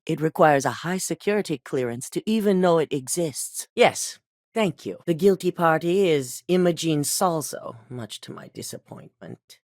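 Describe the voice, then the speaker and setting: A cold corporate executive. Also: female voice, low-pitched, animated, moderate pace, darkish tone, dry recording, studio quality. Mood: cold authority. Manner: curt, authoritative, businesslike. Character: cold, commanding female corporate executive, middle-aged. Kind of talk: video game dialogue.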